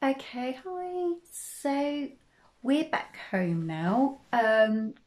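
A woman's voice: sits high, very animated, drawn-out words, a little mellow. A woman, chatting casually in a travel vlog, filming herself on a phone at arm's length. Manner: chatty, enthusiastic, many ums. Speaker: vlogger. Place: outdoors by the sea, later a home kitchen. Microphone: phone held at arm's length.

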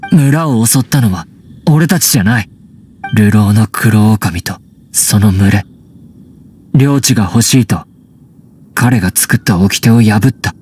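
A guarded wild young man raised among wolves. He speaks slowly and low in clipped, halting phrases, as in an anime game, with faint music behind. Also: a little mellow, breathy edge. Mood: defensive. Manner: halting, blunt short phrases.

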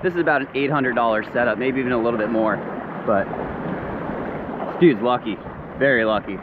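A young man hosting a casual fishing vlog, talking excitedly to an action camera at a beach jetty. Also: mid-range voice, fairly quick, narrow, tinny audio. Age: young adult. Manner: excited, talks to camera while walking and wading. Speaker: fishing vlogger. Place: outdoors at a beach jetty, wind and surf. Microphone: action camera, often held close or head-mounted. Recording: outdoor wind and water noise.

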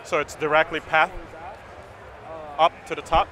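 A man's voice: on the high side, little pitch movement, measured pace, bright, forceful tone. A man in his thirties explaining a product at a trade show booth, earnest and agreeable, often repeating words as he explains. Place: busy expo hall floor, indoors. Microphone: camera mic, handheld close.

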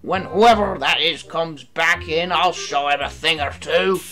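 A man voicing a cocky, threatening steam engine in a storybook with a forceful swagger. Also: a little high, measured pace. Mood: boastful. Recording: music behind the voice.

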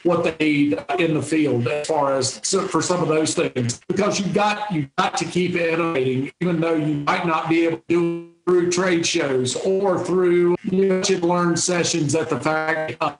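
Middle-aged guy on a zoom call, kinda high voice, echoey room, easygoing salesman talk. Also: moderate pace, hardly any pauses, music in the background. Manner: easygoing, folksy, frequent restarts. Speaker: territory manager, interview guest. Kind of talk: conversational interview answers. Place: home office. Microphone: video call.

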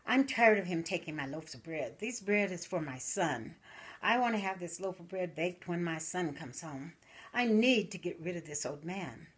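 An older woman, a grandmother, telling a folksy story at a slow, animated pace in a living room, recorded by the camera. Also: on the low side, slightly rough.

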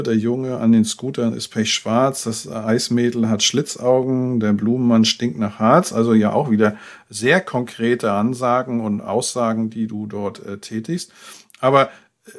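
A man, hosting a show and putting conversational questions to an interview guest. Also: mid-range voice. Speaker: radio show host, interviewer.

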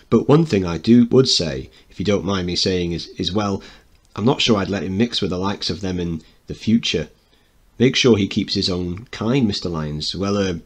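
A man voicing a relaxed, deferential policeman, hesitant and ingratiating as he chats to a superior. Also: low voice, breathy edge.

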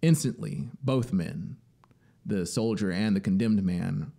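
A man in his thirties reads a literary story in a deliberate, dramatic way, drawing out key words into a close studio microphone. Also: on the low side, gravelly, warm tone. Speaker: narrator and musician. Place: small home studio, indoors. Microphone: close studio microphone on boom arm. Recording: music behind the voice. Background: synthesizer soundscape music.

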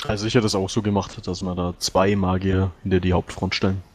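A man's voice: low voice, level tone, a little fast, darkish tone, faint music behind. A man talking casually as a player in a tactical game discussion.

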